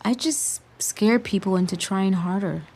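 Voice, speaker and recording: A woman's voice: mid-range voice, unhurried, a little mellow, husky. A woman playing an earnest, unsure teenage team leader in an audio drama. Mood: self-doubting. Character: self-doubting teenage girl team leader.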